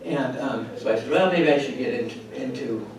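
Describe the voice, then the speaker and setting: A man in his fifties from California, telling his own story at a conference in a halting way, with many restarts and pauses. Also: medium pitch. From California, USA. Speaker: resident steward, conference speaker. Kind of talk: personal story at a conference panel. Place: conference hall. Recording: very echoey hall, distant mic, room noise.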